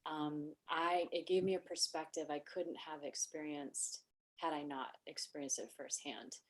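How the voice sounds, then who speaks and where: A middle-aged woman hosting a podcast, talking in a soft voice that does not carry far, reflective and thoughtful in long winding sentences. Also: sits low, fairly even intonation, unhurried. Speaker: podcast host and wellness coach. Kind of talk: casual podcast conversation.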